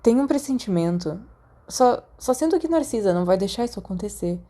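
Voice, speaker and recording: A woman reads as an earnest young schoolboy wizard with secrets. The tone is soft and dark. He speaks in hurried, halting bursts, guarded, as in storybook dialogue. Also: medium pitch, expressive, lightly acted. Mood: guarded, insistent.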